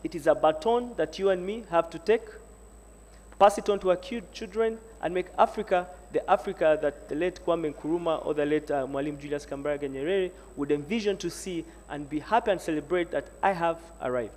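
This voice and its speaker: High-voiced man rousing a hall crowd. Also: a little fast, a little mellow. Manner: exhortative, repeats "Africa needs an African". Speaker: panel speaker. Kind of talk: rousing public speech. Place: large university hall. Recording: echoey hall reverb.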